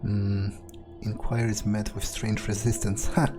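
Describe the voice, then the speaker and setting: A man giving in-character gameplay commentary, thinking aloud in a musing, hesitant way with pauses mid-phrase. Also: lowish voice, flat delivery. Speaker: gamer doing an in-character playthrough. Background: game music.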